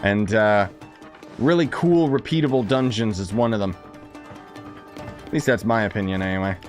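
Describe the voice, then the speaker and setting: A man's voice: on the low side, normal speed. A man streaming a game with casual, opinionated, sardonic commentary. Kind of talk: casual live gameplay commentary. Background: game music.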